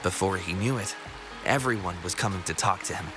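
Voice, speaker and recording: A man's voice: low-pitched, fairly quick, lightly acted. A man playing a chatty, wry townsman in a video game, telling stories conversationally over music. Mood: explaining. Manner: conversational, bemused, storytelling. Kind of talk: video game character dialogue.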